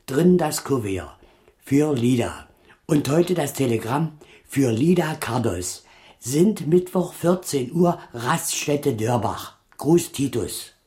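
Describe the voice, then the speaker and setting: A man's voice: medium pitch, lively intonation, slow pace, dark tone. An actor playing an evasive, frightened circus man making a defensive confession in radio drama style. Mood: confessing, subdued. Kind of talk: 1980s German radio drama acting.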